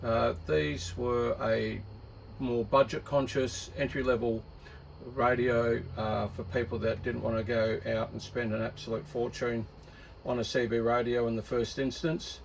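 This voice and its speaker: Aussie guy rambling casually about his hobby, croaky fry in the voice, bit of background noise, stops and starts. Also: medium pitch, fairly bright tone, low-quality recording. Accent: Australian. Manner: rambling, halting, thinking aloud. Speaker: radio hobbyist presenter. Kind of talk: casual unscripted product talk.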